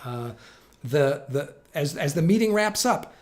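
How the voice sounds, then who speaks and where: A man's voice: fairly high pitch, animated, unhurried, a little mellow. A middle-aged man presenting practical tips in an organized, plain-spoken explainer style, stressing his key points. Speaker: presenter giving communication tips. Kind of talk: instructional explainer to camera.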